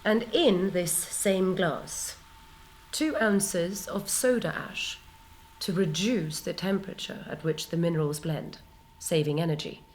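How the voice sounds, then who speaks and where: A woman playing a solemn, poetic philosopher heroine in a dramatic monologue, deliberate and hushed with portentous pauses. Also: on the low side, close to an everyday voice. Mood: solemn wonder. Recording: faint background noise and ambience.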